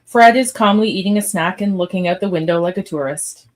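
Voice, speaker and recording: A woman's voice: mid-range voice, brisk. Female narrator, mid-range voice, reading scene directions for a live radio-style script read.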